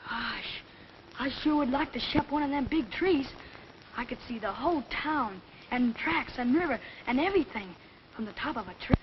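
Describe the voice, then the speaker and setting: A plucky young American boy from a small town, with a high voice and plain-spoken, kid-like delivery. It comes from a forties film, with a dull soundtrack and faint hiss. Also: expressive, normal speed. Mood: wistful, chatty. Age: child. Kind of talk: 1940s film acting. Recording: muffled, faintly noisy 1940s film soundtrack.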